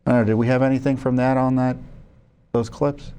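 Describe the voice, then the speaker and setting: American guy doing news commentary, conversational, kinda halting, mid-pitched voice, corrects himself a lot. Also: level tone, warm tone, clear, resonant tone. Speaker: watchdog group spokesman presenting records. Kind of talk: news commentary to camera, part read out, part ad-libbed.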